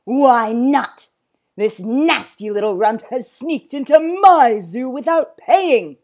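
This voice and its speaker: A woman's voice: expressive, slow pace, darkish tone, full character voice. A woman voicing a pompous, furious male zoo owner who bellows and blusters indignantly in a storybook reading. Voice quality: booming, bellowing. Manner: blustering, indignant, emphatic questions.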